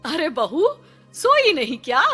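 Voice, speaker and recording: A woman's voice: high voice, sing-song, slow pace, bright, forceful tone, full character voice. A woman playing a doting middle-aged mother-in-law in a cartoon moral story, affectionate, fussing and exclamatory. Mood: surprised, fussing. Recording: music behind the voice.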